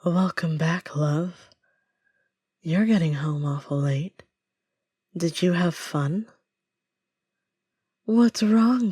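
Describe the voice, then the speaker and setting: A woman's voice: sits low, darkish tone, dry recording, studio quality. A woman playing a possessive, menacing stalker lover in an audio roleplay, silky, smug and controlled with sinister endearments.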